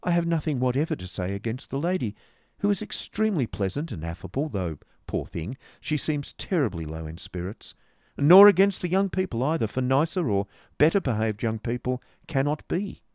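A man's voice: mid-range voice, sing-song, normal speed, darkish tone, dry recording, voice pushed up, close to an everyday voice. Male narrator doing a prim, dainty landlady of fifty, raised and fluttery, hesitant, in a dry booth. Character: mincing genteel woman of fifty, a landlady. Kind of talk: audiobook character voice.

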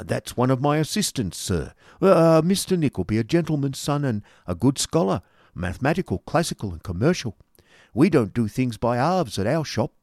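A pompous, grasping schoolmaster pitches his school in a loud, raised, wheedling patter, as a man puts him on. He is a blustering middle-aged man who oversells everything. The sound is dry and close. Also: creaky, no room sound.

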